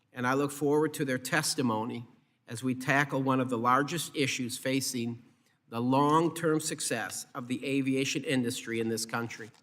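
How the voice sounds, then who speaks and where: A man speaking as a committee chairman, reading a formal, measured opening statement at a government hearing. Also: mid-range voice, a bit bright. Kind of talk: formal prepared political statement. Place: congressional hearing room.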